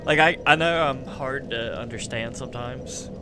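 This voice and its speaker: A man's voice: mid-range voice, normal speed. A man with a Southern US accent giving casual, blunt game commentary. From southern United States. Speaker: gaming streamer. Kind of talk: casual game commentary, some reading aloud. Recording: game music behind the voice. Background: loud game music.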